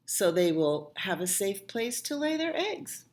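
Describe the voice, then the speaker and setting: A woman voicing a patient, affectionate grown-up who humours a small child in a picture book, gentle and reassuring. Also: medium pitch, very animated, a little slow. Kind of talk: picture-book dialogue read aloud.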